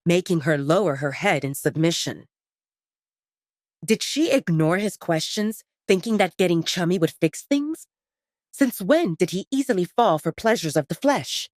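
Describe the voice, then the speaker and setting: Lower-pitched woman narrating, very animated. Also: dry recording, clean studio sound. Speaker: audiobook narrator. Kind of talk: scripted dramatic narration of romance fiction.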